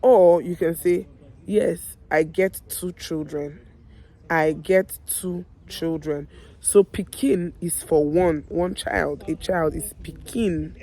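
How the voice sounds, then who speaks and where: A woman with a Nigerian accent and a hoarse voice, teaching everyday phrases to viewers with slow, deliberate repetition. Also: on the low side, a little mellow, slight background noise, low-quality recording. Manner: addresses viewers directly, repeats phrases slowly and deliberately. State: hoarse, losing her voice. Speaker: online language teacher, video host. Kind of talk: language lesson with slow repeated example phrases.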